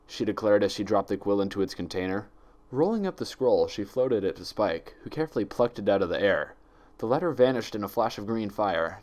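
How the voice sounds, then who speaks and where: A man's voice: on the low side, a little mellow. A man reading fan fiction aloud as dramatic scripted story narration.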